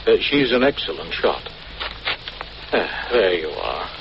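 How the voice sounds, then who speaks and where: An actor playing a weak-willed, shaken married man in a 1940s radio drama, speaking in a halting, hesitant way. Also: low voice, big pitch swings, gravelly, full character voice. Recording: muffled, noisy old radio recording.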